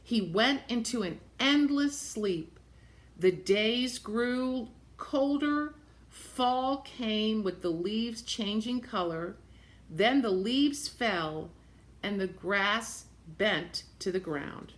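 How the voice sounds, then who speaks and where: Slow-paced woman reading a picture book aloud. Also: fairly high pitch. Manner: expressive, repeats phrases, points things out to children. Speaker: storybook reader for young children. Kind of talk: picture-book read-aloud with simple asides explaining the pictures.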